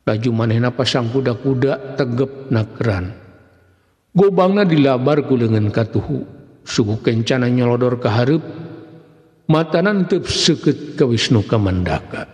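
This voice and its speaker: A man's voice: mid-range voice, lively intonation, moderate pace, a little mellow. A man with a Sundanese accent narrates a folk tale as a dramatic storyteller. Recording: long hall-like reverb.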